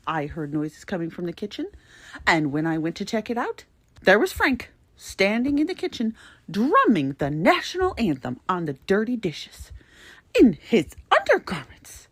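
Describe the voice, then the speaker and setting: A prim, matronly lady played by a woman reads her letter in a husky voice with widely swooping intonation. She is fussy, emphatic and easily scandalized, with old-fashioned, theatrical diction in a dramatic audio letter. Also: medium pitch, no echo. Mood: exasperated.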